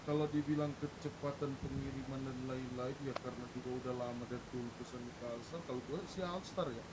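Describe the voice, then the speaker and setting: A man's voice: fairly even intonation, fairly quick. A man speaking casually and frankly in a roleplay gaming chat, reasoning out his opinion step by step. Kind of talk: casual in-game roleplay group discussion. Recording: clearly noisy game voice chat, low rumble.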